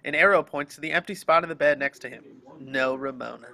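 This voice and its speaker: A man's voice: medium pitch, fast talker, creaky, pushed and bright. A man narrating the action descriptions of a screenplay in an amateur table reading. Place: online group video call.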